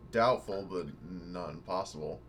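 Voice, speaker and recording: A man's voice: sits low, unhurried, a bit bright, slight background noise. A man chatting casually as a player in a tabletop roleplaying game, with a dry way of talking.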